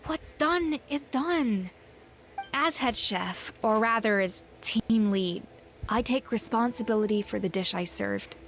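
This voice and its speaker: A woman's voice: on the high side, slow, a bit bright, a little hiss or hum. A woman playing a proud, principled head chef in an anime game, speaking firmly and formally. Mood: resigned, dignified. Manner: firm, formal, dignified.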